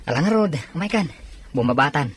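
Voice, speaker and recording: A man's voice: a little high, expressive, fairly quick, fairly bright tone, slight background noise. A man playing a hospitable husband and friend in an Ilocano audio drama. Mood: friendly inviting. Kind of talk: Ilocano radio-style drama acting.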